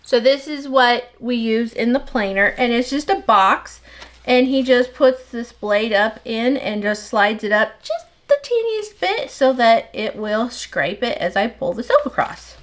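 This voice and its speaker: A woman's voice: on the high side, animated. Chatty, slightly nasal woman soap maker. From United States. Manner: rambling, talks fast without pausing for breath. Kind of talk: casual chat while working. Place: home soap workshop.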